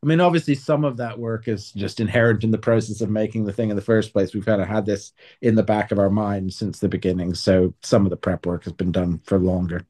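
A man's voice: lowish voice, fairly even intonation, brisk, few pauses, dark tone. A man answering as an author in a conversational interview, explaining in a reflective, articulate way.

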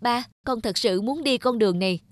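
An actor playing an earnest young animator son who longs for approval, speaking emotionally in an animated drama. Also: male voice, very high-pitched, a little fast, dry recording, studio quality. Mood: determined. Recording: background music under the voice.

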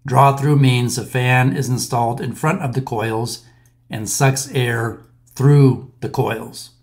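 Man explaining HVAC basics, steady and methodical. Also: mid-range voice, slow. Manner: plain, methodical walkthrough with occasional fillers. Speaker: maintenance instructor. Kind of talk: explainer voice-over over slides.

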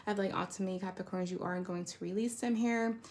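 A woman's voice: medium pitch, steady tone, quick pace, darkish tone. A woman giving a casual, rambling tarot card reading in a conversational way, often checking in with the listener. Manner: conversational, rambling, checks in with "right?" and "you know". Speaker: tarot reader.

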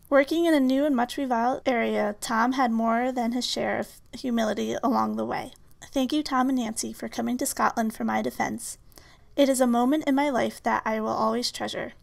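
A woman's voice: fairly high pitch, creaky. A middle-aged woman, a professor, delivering a scripted spoken tribute. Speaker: philosophy professor.

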